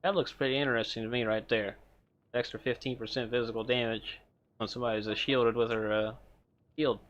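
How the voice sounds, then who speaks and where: Homem descontraído narrando seu jogo. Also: male voice, mid-range voice, a little fast, dull audio. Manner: relaxed, rambling, chatting with viewers. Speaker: streamer. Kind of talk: casual gameplay commentary.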